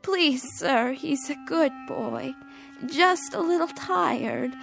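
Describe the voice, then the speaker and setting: Radio drama: elderly pleading grandmother, high voice, slow delivery, humble and emotional. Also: vocal fry, fairly bright tone, faint music behind, full character voice. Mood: desperate, pleading. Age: older.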